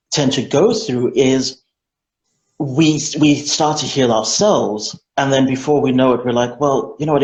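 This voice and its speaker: A man's voice: medium pitch, a little mellow. A man hosting an online interview over a video call, chatty and effusive, with many fillers and restarts. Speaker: interview host. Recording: dull, echoey video call.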